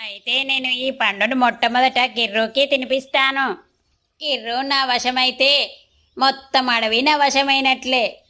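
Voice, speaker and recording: A woman voicing a whiny high-pitched cartoon crow. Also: steady tone, measured pace, sharp tone. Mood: excited. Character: lazy, self-pitying, scheming crow husband, dramatic. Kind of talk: children's cartoon story voice acting.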